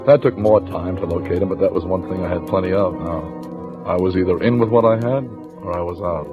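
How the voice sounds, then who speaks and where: An actor playing a shrewd, weary police lieutenant in an old-time radio crime drama, with clipped colloquial delivery. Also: male voice, sits low, a little fast, lightly acted. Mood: weary, narrating. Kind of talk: old-time radio crime drama acting, first-person narration and dialogue. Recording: dull vintage radio recording with music.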